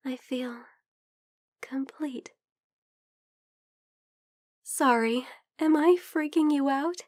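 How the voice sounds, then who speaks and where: Woman acting soft, high-voiced, hesitant young vampire girl. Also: extremely slow, no echo, clean studio sound. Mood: dazed, blissful. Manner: hesitant, soft, breathy murmuring that slips into whispers. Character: shy, lovestruck young female vampire, caring and hungry. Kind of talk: intimate ASMR roleplay.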